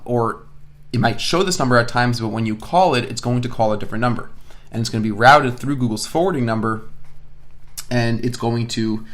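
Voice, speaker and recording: Male course instructor, mid-range voice, casual and explanatory, narrating on-screen steps. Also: mid-range voice. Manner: casual, explanatory, thinking aloud. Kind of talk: screen-share software tutorial narration. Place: indoors at a computer, voice-over of screen recording.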